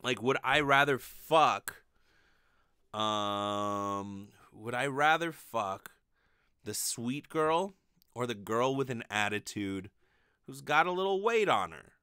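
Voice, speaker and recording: A lively man in his twenties with a bright, higher-set voice rambles through a comedic monologue. He swears freely and keeps it casual, with his pitch swinging around as he talks into a close, dry mic. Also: takes it slowly, smooth, no room sound. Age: late 20s. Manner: profane, rambling, animated. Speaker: podcast host. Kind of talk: casual comedic monologue, answering listener mail. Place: small bedroom, indoors. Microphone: close condenser microphone on desk with pop filter.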